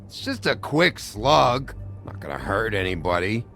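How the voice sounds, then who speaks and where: A gravelly, volatile drunk in a video game, bitter and sarcastic. His sneering drawl swings widely in pitch, with music underneath. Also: very animated, a little slow, bright, forceful tone, exaggerated voice. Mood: bitter, insistent. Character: drunk, bitter, foul-mouthed male bar tough, sarcastic and volatile.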